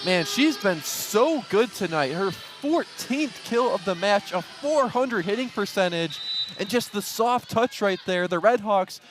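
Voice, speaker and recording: A man's voice: high-pitched, animated, unhurried, over music. A man giving color commentary on live sports, with the venue audible behind him.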